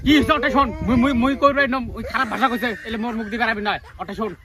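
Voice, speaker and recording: Man playing a shrill, fast-scolding village father. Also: crowd noise. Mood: offended. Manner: scolding, nagging. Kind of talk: comedy skit dialogue.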